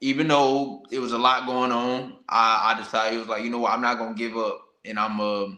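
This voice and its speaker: A bright, medium-pitched American man talks as a guest on a boxing show. He tells his story candidly and casually in colloquial speech, and the sound is echoey. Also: level tone, brisk. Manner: candid, colloquial, peppered with 'you know what I'm saying'. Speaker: professional boxer, podcast guest. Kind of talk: casual personal storytelling in an interview.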